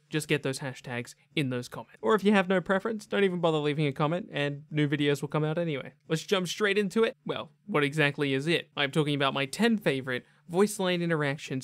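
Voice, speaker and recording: A man's voice: on the high side, no room sound. A young man giving casual, enthusiastic, chatty video game commentary with emphatic delivery. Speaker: gaming video host.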